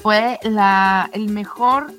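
A woman's voice: mid-range voice, very slow, fairly bright tone, soft background music. A woman co-hosting a radio show, talking casually and conversationally. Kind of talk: casual on-air chat.

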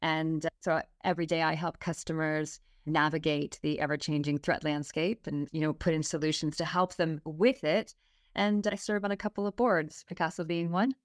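Canadian woman, podcast guest, lower register, bright and chatty, dry close sound. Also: fairly even intonation, moderate pace, dry recording. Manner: upbeat and chatty, with small fillers. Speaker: guest security specialist. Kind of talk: podcast interview.

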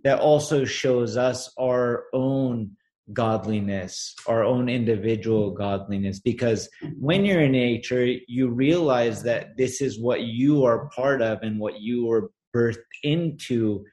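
A man's voice: mid-range voice, a little mellow. A man from Los Angeles talking in an earnest, reflective way about nature and spirituality in a casual conversation. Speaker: guest, brand co-founder.

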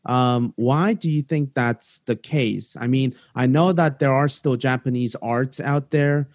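A man from South Korea hosting a casual podcast interview, halting and full of ums and self-corrections. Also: mid-range voice, darkish tone, dry recording, clean studio sound. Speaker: podcast host.

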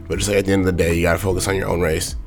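Hoarse young guy w a really deep raspy monotone voice, casual motivational talk, some faint music behind. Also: racing. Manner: plain-spoken, repeats his point over and over. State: hoarse from a sore throat.

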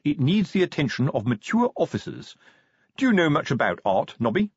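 A man voicing a self-important, slow-witted middle-aged police sergeant in an audiobook, with a pompous, explaining manner. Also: fairly high pitch, sing-song, slightly rough, no room sound, highs cut off, voice pushed up. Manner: pompous, explanatory, tag questions.

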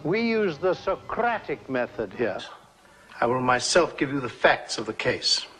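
An actor playing an exacting older law professor in a film, lecturing formally and putting pointed questions in a reverberant hall. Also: male voice, medium pitch, very animated, measured pace, a bit bright. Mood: stern, measured.

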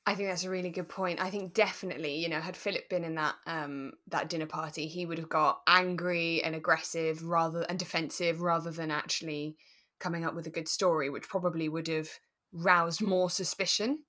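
A woman co-hosting a podcast, talking in a relaxed, thoughtful and explanatory way about a drama. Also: deep voice, a little fast. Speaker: podcast co-host. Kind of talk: relaxed discussion of a radio drama.

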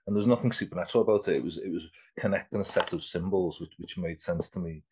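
A man's voice: low-pitched, fast, darkish tone, a little hiss or hum. A man in his fifties, an artist being interviewed, talking casually and rambling with many restarts. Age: 50s. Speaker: artist guest.

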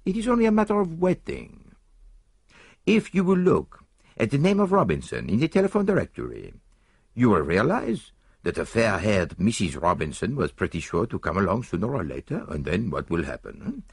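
A man's voice: fairly low pitch, wildly swooping pitch, normal speed, creaky, slightly rough, no room sound, studio quality, heavily acted. A man voicing a fussy, precise older male detective, mildly reproving and fond of rhetorical questions. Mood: explaining. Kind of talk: audiobook character voice.

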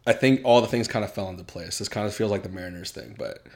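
Give me a relaxed young man talking casually as a guest, with a low voice and lots of fillers. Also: creaky. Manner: relaxed, halting with many fillers and restarts. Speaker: podcast guest. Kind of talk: casual chat.

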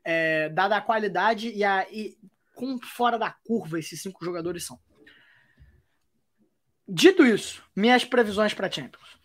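A woman's voice: medium pitch, measured pace, pauses often. A Brazilian woman hosting a podcast, chatting about football in a loose, wry way with many restarts. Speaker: podcast host. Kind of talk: casual football chat.

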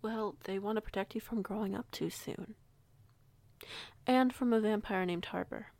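A woman playing a young, kindly, protective werewolf pack alpha in an audio roleplay, measured and gently authoritative. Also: medium pitch, creaky, no room sound, lightly acted. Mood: calm, firm.

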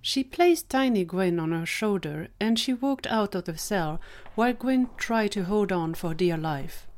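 A woman's voice: mid-range voice, expressive, unhurried, a little mellow. A woman reading scripted story narration for an audio fiction episode.

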